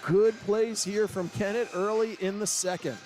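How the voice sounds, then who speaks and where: A man calling hockey play-by-play, with quick, excited calls that rise to shouts. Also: high voice, low-quality recording. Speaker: play-by-play announcer. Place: ice rink broadcast booth. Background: loud arena music.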